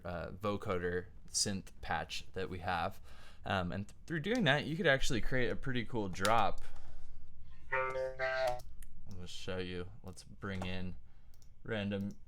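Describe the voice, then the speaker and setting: A young man giving a relaxed, casual music production tutorial, talking with plenty of ums and uhs as he explains his steps. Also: low-pitched, unhurried, slight background noise. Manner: relaxed, frequent ums and uhs, thinking aloud. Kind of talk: casual screen-share tutorial.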